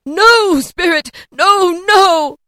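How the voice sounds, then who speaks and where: A woman voicing an old miserly man, terrified and pleading, in a loud, high, desperate voice. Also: a little slow, dry recording, studio quality.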